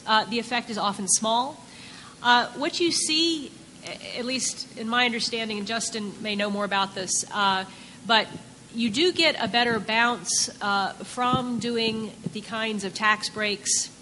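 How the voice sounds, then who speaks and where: A woman's voice: on the high side, pushed and bright, a little hiss or hum. A woman on a conference panel explaining tax policy, hesitant and full of filler sounds. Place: conference hall. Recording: echoey conference hall.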